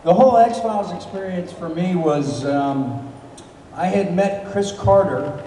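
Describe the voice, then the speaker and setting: A middle-aged man answering audience questions on a convention stage, telling stories in a relaxed, rambling way with many fillers and a handheld mic. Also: high-pitched, unhurried, a little hiss or hum. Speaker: convention guest actor. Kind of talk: live stage Q&A. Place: large convention hall, stage. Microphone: handheld mic, filmed from far in the crowd. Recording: echoey hall from far off.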